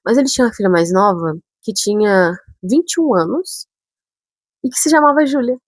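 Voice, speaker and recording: A chatty young woman from São Paulo tells a story straight to camera. Her speech carries touches of a northern Brazilian accent. Her voice is a little husky and breathy, and she jumps into animated asides. The sound is close and dry, with no room echo. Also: medium pitch, moderate pace. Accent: northern Brazilian touches mixed with São Paulo speech. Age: 20s. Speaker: vlogger.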